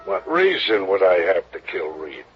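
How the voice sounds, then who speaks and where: A sneering gambler brushes off questions with sarcasm. His voice sits low and moves expressively. The muffled old broadcast carries a faint hiss. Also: huge pitch swings, moderate pace, gravelly, music in the background, exaggerated voice. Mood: scornful. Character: tough male gambler, scornful and dismissive. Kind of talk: 1950s radio drama acting.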